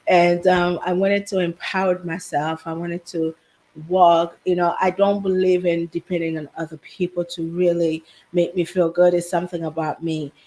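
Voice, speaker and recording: A woman from Haiti raised in New Jersey, a business owner telling her story softly as a guest on a video call. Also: fairly low pitch, level tone, moderate pace, poor audio. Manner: soft-spoken storytelling with laughs, quiet. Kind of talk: casual interview chat.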